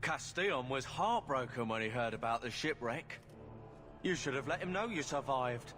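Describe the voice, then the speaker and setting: A man's voice: mid-range voice, lively intonation, normal speed, natural voice. A man playing a sneering, menacing slaver henchman in a video game, smug and mocking. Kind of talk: video game villain dialogue. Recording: long hall reverb, music behind.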